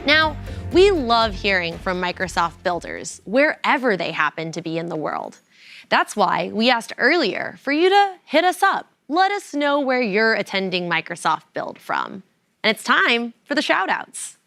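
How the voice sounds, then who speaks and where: A woman's voice: mid-range voice, very animated, measured pace, vocal fry, fairly bright tone, faint music behind. A woman co-hosting a live tech event stream, bubbly and playful in her banter. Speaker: event co-host.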